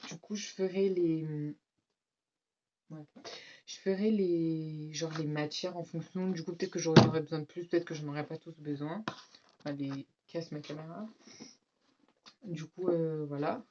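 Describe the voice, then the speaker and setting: A teen girl with a French accent talks in a low, slightly stuffy voice, rambling through her school supplies with lots of fillers and quick asides to her viewers. The sound is dull and muffled, with a clear hiss of noise underneath. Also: steady tone, mellow. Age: teens. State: slightly congested, has a cold. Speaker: vlogger. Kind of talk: casual back-to-school haul vlog.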